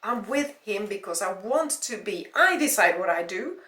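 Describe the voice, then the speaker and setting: A woman's voice: medium pitch, brisk, far from the mic, lightly acted. A woman voicing a hurt, defiant, cold young woman in a storybook reading. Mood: angry, defiant. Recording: roomy, echoing voice recording.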